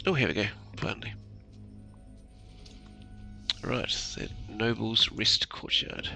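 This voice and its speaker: Creaky low-voiced man casually commentating a game. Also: moderate pace, pushed and bright. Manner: muttering to himself, often adds "eh?". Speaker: gamer commentating a playthrough. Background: game music.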